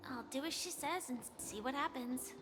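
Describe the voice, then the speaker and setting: An actress voicing an earnest, skeptical young woman with quiet resolve in a video game, music behind. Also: high voice, normal speed, lightly acted. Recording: music under the voice.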